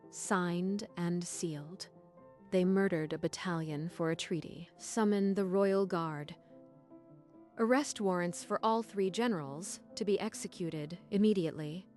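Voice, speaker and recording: A woman's voice: fairly low pitch, takes it slowly, a little mellow, soft background music. A woman playing a stern, commanding royal counselor in a dramatic story dub, with a cold, imperious delivery. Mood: furious, commanding. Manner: cold, imperious, clipped declarations. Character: stern silver-haired female royal counselor, commanding and furious. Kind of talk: dramatic dubbed-story acting.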